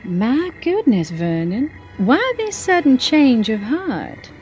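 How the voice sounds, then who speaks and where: A woman's voice: a little high, sing-song, slow pace, darkish tone, faint music behind. A woman acting a poised, teasing, romantic mature character in a soap-opera audio drama. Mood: teasing, curious.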